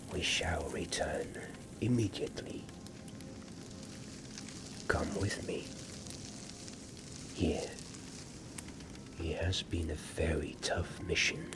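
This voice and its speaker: A man playing a weary, gentle war companion in a fantasy ASMR roleplay, whispering softly and reassuringly with long pauses. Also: low voice, noisy background. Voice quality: soft, breathy whispering.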